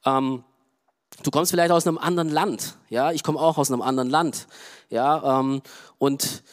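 A middle-aged man from Romania, preaching a sermon in a loose, conversational way, full of fillers and quiet asides. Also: medium pitch, fairly even intonation, normal speed. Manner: conversational, frequent "ja" and "ähm" fillers. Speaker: pastor.

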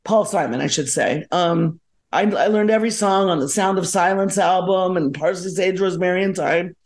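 A woman's voice: on the low side, moderate pace, a little mellow. A middle-aged or older woman from South Florida, chatting casually as a podcast guest, digressing and correcting herself as she reminisces. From South Florida, United States. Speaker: podcast guest, musician and educator. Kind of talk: casual reminiscing interview chat.

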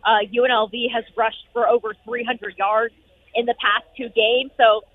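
A woman sports reporter calling in from an arena, upbeat and full of fillers, her voice going hoarse. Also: a little high, level tone, slight background noise. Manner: upbeat, rapid, many fillers. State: losing her voice. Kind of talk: phone-in sports radio chat. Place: noisy arena. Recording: tinny phone line.

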